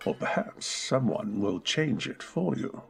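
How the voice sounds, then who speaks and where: A man playing a melancholy, reserved gentleman with formal, polite manners in a radio drama. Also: medium pitch, animated, measured pace, creaky, husky, exaggerated voice. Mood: curious, charmed. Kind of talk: old-time radio drama acting.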